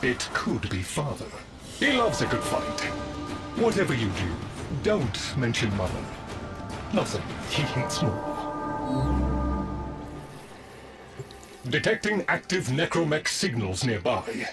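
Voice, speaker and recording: An actor playing an earnest young son of a strange noble family in a video game, with florid, dramatic phrasing. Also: male voice, medium pitch, sing-song, slow, long pauses. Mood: eager, cautioning. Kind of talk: theatrical video game acting. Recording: loud music under the voice.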